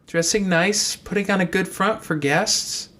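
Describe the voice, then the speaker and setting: A man's voice: high voice, measured pace, sharp tone, voice pushed up. A man voicing an earnest young woman in an audiobook, persistent and probing as she argues someone's case. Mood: urgent, pleading. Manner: probing, insistent.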